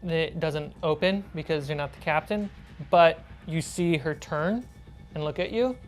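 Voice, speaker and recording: Female game master, low register, narrating and prompting players over faint background music. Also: a little slow. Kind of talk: narrating a tabletop role-playing game.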